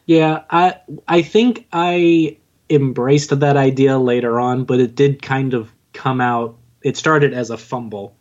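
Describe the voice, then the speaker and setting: A man being interviewed as a podcast guest, chatting casually in a halting, self-deprecating way. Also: mid-range voice, fairly even intonation, darkish tone. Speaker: podcast guest, audio drama writer and narrator. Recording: dull, lo-fi audio.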